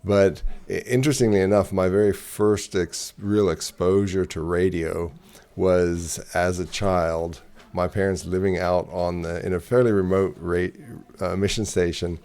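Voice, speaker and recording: A man's voice: sits low, fairly even intonation, a little slow, a little mellow, clean tone. A middle-aged man from Zimbabwe, a ministry director, telling his story in an interview with frequent hesitations and repeated words.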